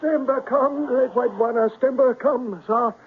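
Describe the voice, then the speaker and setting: An actor playing a servile, flattering African gun-bearer with exaggerated deference in a 1970s radio drama. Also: male voice, very high-pitched, a little slow, a little hiss or hum. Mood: eagerly obedient. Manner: exaggeratedly deferential, pidgin phrasing. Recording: tinny lo-fi old radio broadcast.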